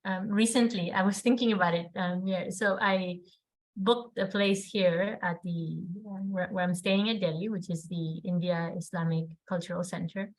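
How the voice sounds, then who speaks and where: A woman's voice: on the low side, steady tone, normal speed, low-quality recording. A woman with Spanish-accented American English, a native Spanish speaker, telling an easygoing story as a panelist on a video call. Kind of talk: conversational anecdote in an online panel.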